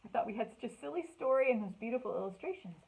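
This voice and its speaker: A woman hosting a children's storytime, reading aloud and chatting in an animated, encouraging way with simple words for young listeners. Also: on the high side, very fast, creaky, slightly rough, low-quality recording. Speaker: children's librarian and storytime host.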